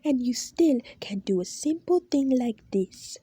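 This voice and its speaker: A lady putting on a mean screaming boss guy, raspy and low-key husky, total hothead. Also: expressive, takes it slowly, dry recording. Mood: furious. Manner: shouting, swearing. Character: cruel hot-tempered male boss.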